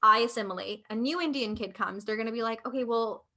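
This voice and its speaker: Woman, Canadian accent, young adult, casual podcast co-host, filler-heavy, higher pitch. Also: fast talker, bright, forceful tone. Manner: thoughtful, filler-heavy, many "like"s. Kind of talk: casual conversation.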